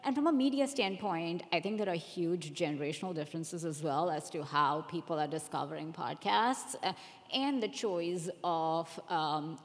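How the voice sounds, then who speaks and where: A woman speaking as a panelist in a casual discussion, explaining her points step by step. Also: deep voice. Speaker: panelist, audience research executive. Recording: reverberant hall.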